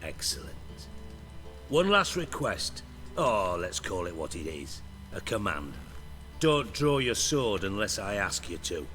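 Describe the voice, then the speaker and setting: Low-voiced sardonic crime boss. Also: male voice, big pitch swings, unhurried, a bit bright, faint music behind, full character voice. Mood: menacing. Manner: theatrical, sarcastic, wordy. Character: sardonic, foul-mouthed, menacing male underworld boss. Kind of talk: video game voice acting.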